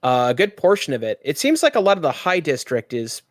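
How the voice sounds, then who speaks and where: Male game master, voice a touch high, casual tabletop narration, very dry sound. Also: big pitch swings, brisk, dry recording.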